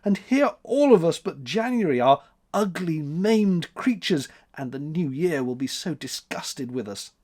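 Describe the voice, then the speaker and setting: Man putting on high-pitched, sobbing young spirit. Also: big pitch swings, a little slow, a little mellow. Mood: sobbing reproach. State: weeping, sobbing. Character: tearful, reproachful storybook month spirit, young.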